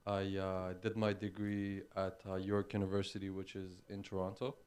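A man from Iran introduces himself in a halting way, full of fillers. His voice is low, flat and smooth. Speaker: new graduate student.

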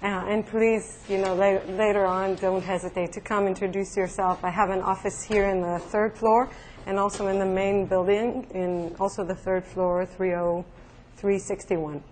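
A woman's voice: mid-range voice, flat delivery, normal speed. A young woman physics lecturer opening a class, talking in a hesitant way with many fillers. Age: young adult. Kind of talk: university lecture with class introductions. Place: lecture room. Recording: echoey classroom with loud background noise.

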